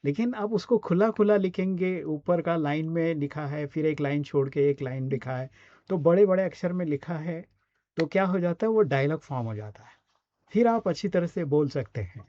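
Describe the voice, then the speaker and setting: Mellow Indian man teaching in dull audio. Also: fairly high pitch, lively intonation, moderate pace, no echo. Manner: explanatory, with frequent check-in questions. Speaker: voice and radio scriptwriting coach. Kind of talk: conversational online teaching.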